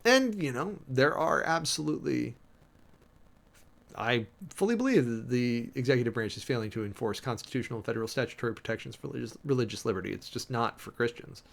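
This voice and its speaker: A man's voice: mid-range voice, expressive, quick pace, creaky, a bit bright. A man hosting a livestream, reading a formal document aloud and commenting off the cuff in an informal, emphatic way. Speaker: livestream host.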